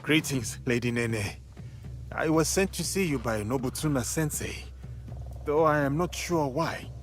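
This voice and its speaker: An actor playing an earnest, measured and respectful foreign samurai warrior in training, in a video game cutscene. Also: male voice, mid-range voice, a little hiss or hum, lightly acted. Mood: polite. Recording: game music behind the voice.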